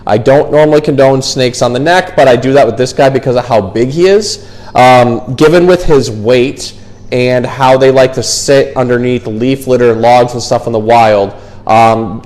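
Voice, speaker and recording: A man's voice: mid-range voice, darkish tone, poor audio. A man presenting as a zookeeper in a casual, explanatory style suited to educational animal talk and storytime. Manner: informal, explanatory.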